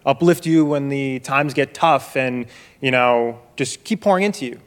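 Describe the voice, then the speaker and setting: A young man giving a loud, emphatic testimony to a church congregation, holding a handheld mic low, in a reverberant sanctuary. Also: normal speed, smooth. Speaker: young adult group member giving testimony. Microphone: handheld microphone held low.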